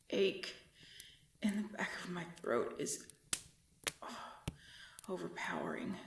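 A woman playing a tormented, devoted pregnant vampire in an intimate ASMR roleplay, halting and breathy, often dropping into a whisper. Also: fairly low pitch, slight background noise. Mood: anguished, restrained. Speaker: voice actor in audio roleplay.

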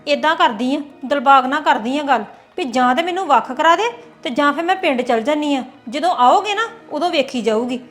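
A woman's voice: high-pitched, animated, fairly quick, hardly any pauses, fairly bright tone, soft background music. A woman playing a scornful quarrelsome relative. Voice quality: scornful, mocking. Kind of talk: family drama acting.

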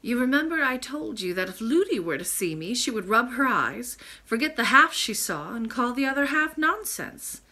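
A woman voicing a kind, wise, ancient grandmother in a storybook, gentle, measured and formal. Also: a little high, big pitch swings, voice pushed up, exaggerated voice. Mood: patient, tender.

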